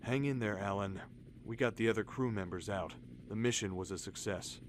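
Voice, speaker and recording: An actor playing a steady, authoritative colonel in a military radio drama, formal and assured. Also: male voice, fairly low pitch, normal speed, background noise, close to an everyday voice. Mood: solemn, reassuring. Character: steady, authoritative male army colonel in command. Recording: loud dramatic score behind.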